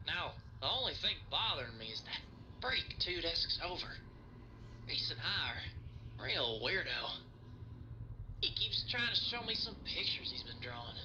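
A folksy, gossipy animator. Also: male voice, fairly high pitch, very animated, measured pace, pauses often, pushed and bright, a little hiss or hum, full character voice. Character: chatty male animator, gossipy and cheerful. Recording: muffled lo-fi sound.

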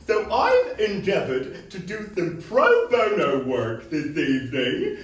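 A woman's voice: lowish voice, wildly swooping pitch, slow pace, slight background noise, heavily acted. A woman playing a boastful, self-important celebrity love-advice hostess on a small theater stage, with showbiz patter and giggles. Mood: grandiose. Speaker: comedy character performer. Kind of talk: improvised stage comedy. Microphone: far room camera. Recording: echoey theater from far off.

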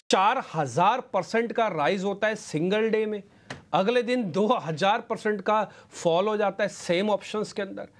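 A middle-aged man speaks as a market analyst in a TV news studio, his voice sitting a little high for a man. He talks emphatically, building points with rhetorical questions and sprinkling English terms into his Hindi. The sound is close and clean, as if from a lapel mic. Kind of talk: explaining to an anchor on live TV.

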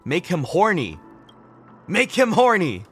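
Male game streamer, young, slow-paced, animated, teasing and slangy. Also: high voice, faint music behind. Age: young adult. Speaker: livestreamer playing a video game. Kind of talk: casual gameplay commentary.

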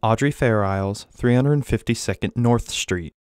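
A man's voice: medium pitch, moderate pace, darkish tone, clear, resonant tone, no room sound, studio quality, natural voice. A man voicing a wealthy, curt, aloof young businessman in a visual novel game. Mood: cool, curt.

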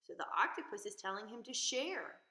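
A woman teacher reading a picture book aloud expressively, pausing to ask young listeners questions and explain simply. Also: fairly high pitch, animated, pushed and bright. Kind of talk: expressive children's read-aloud. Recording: echoey room.

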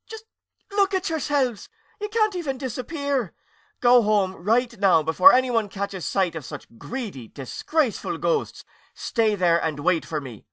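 A man voicing a scolding old woman ghost who wails her words, high and loud. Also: very animated, dry recording, clean studio sound, heavily acted. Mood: scolding, wailing. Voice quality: shrill, drawn-out wailing.

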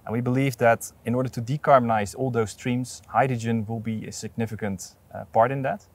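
A man in his thirties speaking in an outdoor interview, earnest and measured, with frequent restarts, on a lapel mic. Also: medium pitch, fairly even intonation. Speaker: port authority representative. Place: outdoors at an industrial port site.

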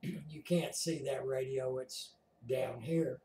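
Older American male hobbyist host, unhurried and halting, recorded a little distant in a roomy small den. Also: medium pitch, fairly even intonation, mellow. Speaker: amateur radio operator, video host. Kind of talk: casual explanatory chat. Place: small home radio room, indoors.

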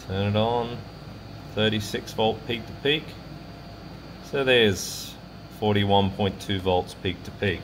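A man presenting a hands-on electronics demonstration in a casual, halting way, thinking aloud as he works. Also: fairly low pitch, a little hiss or hum. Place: indoor electronics workbench.

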